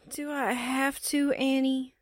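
A woman's voice: sits high, level tone, drawn-out words, no room sound, clean studio sound. A woman playing a flighty, wisecracking newspaperwoman's sidekick in 1940s radio drama style, breezy and candid. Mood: reluctant.

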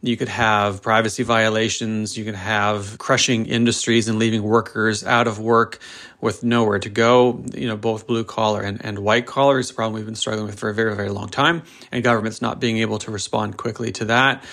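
A man with a lowish voice, speaking as a guest on a panel. He is wry and emphatic, and he piles up his points in long running sentences. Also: little pitch movement, brisk, fairly bright tone. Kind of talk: panel discussion.

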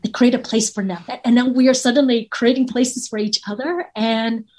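Kobieta z tajwańskim akcentem, refleksyjna. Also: female voice, on the high side, fairly even intonation, moderate pace. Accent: Taiwanese. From Taiwan. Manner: earnest, warm, builds points with rhetorical questions. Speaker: guest, professor. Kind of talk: reflective interview answers.

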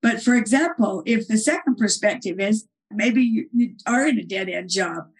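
A woman's voice: mid-range voice, lively intonation, moderate pace, slightly rough. A woman author as a guest, earnestly explaining her ideas and retelling stories in an interview. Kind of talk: conversational interview answer.

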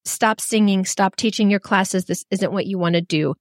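A woman's voice: medium pitch, normal speed, no room sound, clean studio sound. Frank middle-aged woman telling her story. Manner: frank, animated, self-deprecating. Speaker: storyteller guest. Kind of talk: candid personal storytelling.

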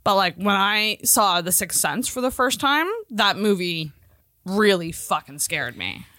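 A woman co-hosting a podcast in casual chat, expressive and quick to swear. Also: medium pitch, no room sound, clean studio sound. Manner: expressive, profane. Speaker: podcast co-host.

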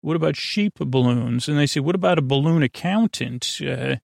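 A man's voice: fairly high pitch, normal speed, vocal fry, no room sound, studio quality. A man hosting a bedtime podcast, rambling in a friendly, meandering way, often trailing off and restarting. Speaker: podcast host.

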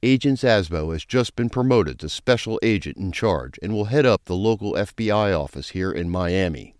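A man's voice: deep voice, animated, moderate pace, a little mellow, no echo, clean studio sound. A man playing a brusque, foul-mouthed, sarcastic police chief in a crime audio drama. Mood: scornful, commanding. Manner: brusque, commanding, crude briefing delivery.

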